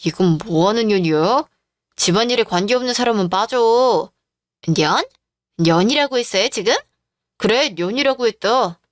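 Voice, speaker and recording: A sneering, bullying husband in a radio drama, voiced by a woman who drops her pitch. He talks down to everyone with cold, rude contempt, and the recording is dry and close. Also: expressive, moderate pace, no echo, natural voice. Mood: contemptuous. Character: callous cheating middle-aged husband.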